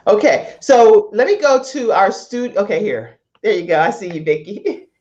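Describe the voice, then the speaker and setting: A woman's voice: mid-range voice, normal speed. Chatty woman hosting, slightly dull audio. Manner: chatty, expressive, informal. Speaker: talk show host. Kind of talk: casual live online talk show hosting. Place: live video stream from a studio setup. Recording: dull, lo-fi online call audio.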